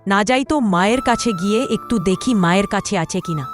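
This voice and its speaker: A woman's voice: medium pitch, fast, music in the background, lightly acted. A woman voicing a meek, dutiful young daughter-in-law in a cartoon, deferential and hesitant. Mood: searching. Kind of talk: dramatic Bengali cartoon voice acting.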